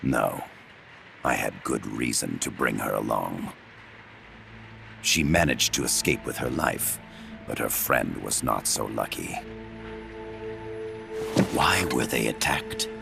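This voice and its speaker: A man playing an older, scheming Soviet KGB agent in a game cutscene, with a gravelly voice and measured, formal delivery. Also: very deep voice, animated, music in the background, heavily acted. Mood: measured, sly. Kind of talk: dramatic game cutscene acting.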